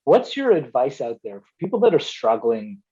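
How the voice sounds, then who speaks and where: A man's voice: mid-range voice, big pitch swings, normal speed, warm tone, husky, dull audio. A man hosting a good-news show, chatty and enthusiastic as he introduces and praises a guest. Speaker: show host. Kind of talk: upbeat hosting banter.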